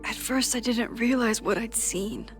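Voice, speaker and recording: An earnest young woman played by an actress in a story-driven video game. She speaks at an unhurried pace, measured and hesitant, with a worried, determined sincerity. Soft music sits behind her voice. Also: a little high, natural voice. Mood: grave, confessional. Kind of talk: naturalistic video game drama acting.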